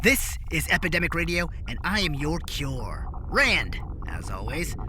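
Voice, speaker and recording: Cheeky, animated radio DJ. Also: male voice, on the high side, measured pace, noisy background. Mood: playful, wry. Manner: playful, theatrical radio patter. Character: cheeky, breezy local radio DJ on colonial Mars. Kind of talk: radio DJ patter in an audio drama.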